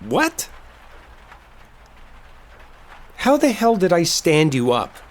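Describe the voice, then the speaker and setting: A man's voice: fairly high pitch, huge pitch swings, measured pace. A man playing an exasperated, defensive coworker who is secretly smitten, in an emotional roleplay audio drama. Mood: angry, exasperated. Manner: emotional, pleading, emphatic, conversational. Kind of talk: roleplay audio drama, intimate one-sided dialogue.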